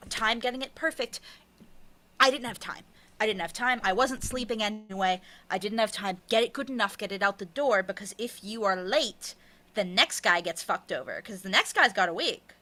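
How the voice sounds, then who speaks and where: A woman with a bright, forceful voice at a middling pitch speaks as a podcast guest. She is casual and blunt, hammering points home with short repeated phrases. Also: moderate pace. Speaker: podcast producer and writer being interviewed. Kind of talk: casual interview chat, personal storytelling.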